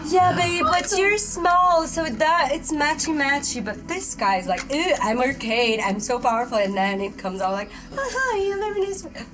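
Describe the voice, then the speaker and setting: A woman's voice: sits high, animated, moderate pace, hardly any pauses, over music. A woman playing a tabletop game, teasing and playful in banter. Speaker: player. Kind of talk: casual in-character banter.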